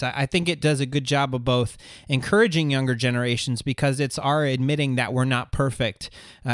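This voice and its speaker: A man's voice: medium pitch, fairly bright tone, no echo, clean studio sound. A man hosting a podcast, interviewing a guest in a conversational style. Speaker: podcast host.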